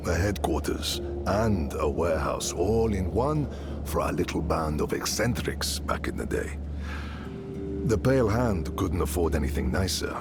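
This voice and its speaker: An actor playing a weathered, warm-hearted mercenary smith and father in a fantasy game, speaking in a measured, familiar way with a gravelly voice. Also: sits low, very animated, loud music behind the voice, full character voice. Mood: reflective. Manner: measured, familiar, addresses listener as friend. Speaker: mercenary leader character. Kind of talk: fantasy game dialogue acting.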